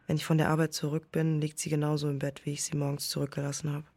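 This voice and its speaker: A slightly low actress's take on a young woman in an audio drama, devoted and anxious. She speaks in a gentle, placating, hesitant way, like a podcaster confiding in the listener, over faint background noise. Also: completely flat delivery, rapid-fire, lightly acted. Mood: soft, concerned. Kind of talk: naturalistic German audio drama with inner narration.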